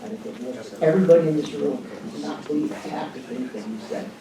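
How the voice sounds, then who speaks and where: A man's voice: on the high side, brisk. A man speaking as a resident at public comment, angry, blunt and accusatory. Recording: very echoey hall, far from mic, loud noise.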